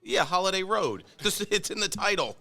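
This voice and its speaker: Lively, bright-voiced contrarian man. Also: sits high, moderate pace, creaky. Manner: contrarian, needles the host with offbeat arguments. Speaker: co-host. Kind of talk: casual banter. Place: car service center.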